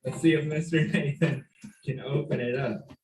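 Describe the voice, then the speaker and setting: A man hosting for young children, explaining simple steps directly to the viewer with questions and pauses for them to answer. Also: medium pitch, steady tone, darkish tone. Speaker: children's story time host. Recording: roomy echo.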